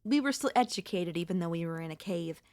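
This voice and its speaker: A woman voicing a gentle, wistful and anxious young centipede monster girl in an ASMR audio roleplay, speaking softly and hesitantly in a conversational way. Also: on the low side, expressive, fast talker, no room sound, close to an everyday voice. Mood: calm, reminiscing.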